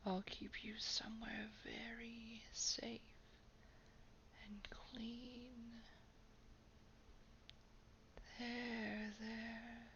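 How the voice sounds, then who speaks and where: A woman voicing a haughty, menacing old vampire in a roleplay audio drama, breathy and gravelly, drawing out words with soft condescension. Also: fairly high pitch, flat delivery, a little hiss or hum, full character voice. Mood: menacing soothing. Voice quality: breathy whisper with gravelly creak. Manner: slow, drawn-out, condescending, softly threatening. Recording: dull, lo-fi home microphone.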